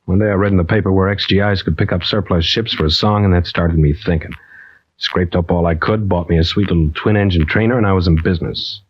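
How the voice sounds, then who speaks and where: An actor playing a brash, impatient American ex-fighter pilot in slangy, clipped 1940s radio drama style. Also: male voice, low voice, fairly even intonation, fast. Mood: wry storytelling. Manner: clipped, slangy, tough-guy talk. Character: brash, impatient ex-fighter pilot running a shady air charter. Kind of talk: 1940s radio acting, hard-boiled first-person narration. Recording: dull, lo-fi old radio recording.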